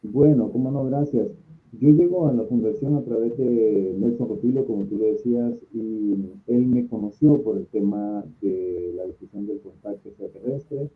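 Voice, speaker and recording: Salvadoran guy, middle aged, kinda low voice, earnest guest explaining stuff, sounds like a phone call. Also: normal speed. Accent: Salvadoran Spanish. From San Salvador, El Salvador. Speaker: invited guest, conference speaker and contact group organizer. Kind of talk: interview answers, explanatory talk. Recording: thin phone-like call audio.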